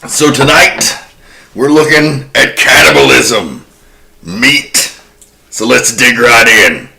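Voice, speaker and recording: A man's voice: medium pitch, slow, vocal fry, pushed and bright. A man hosting a horror podcast, introducing and narrating film history in a conversational way. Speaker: podcast host.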